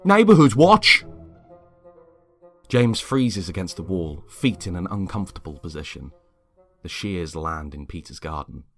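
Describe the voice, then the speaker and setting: Deep-voiced man narrating a dramatic story. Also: moderate pace, soft background music. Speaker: story reader.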